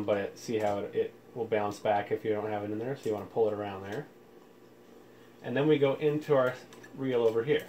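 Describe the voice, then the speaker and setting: A man's voice: medium pitch, darkish tone. A man hosting a casual how-to demonstration, explaining things informally, step by step. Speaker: tutorial host. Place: indoors, room with audio equipment. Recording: clear background room noise.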